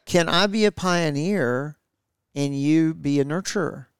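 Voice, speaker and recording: A man's voice: fairly high pitch, unhurried, smooth, no room sound, studio quality. A middle-aged man co-hosting a podcast, talking reflectively with his wife, with many fillers and restarts. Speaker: podcast co-host. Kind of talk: casual conversation with spouse.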